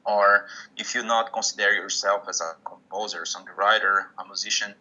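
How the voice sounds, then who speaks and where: Bright-voiced Portuguese-speaking man, hesitant English. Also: fairly low pitch, steady tone, normal speed. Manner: hesitant, restarts often, searching for English words. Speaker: musician guest. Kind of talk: interview conversation. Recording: dull lo-fi sound.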